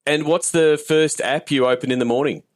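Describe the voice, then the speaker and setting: A man's voice: a little high, steady tone, fairly quick, dry recording, clean studio sound. A man hosting a casual podcast interview, encouraging his guest and feeling his way through questions with repeated words.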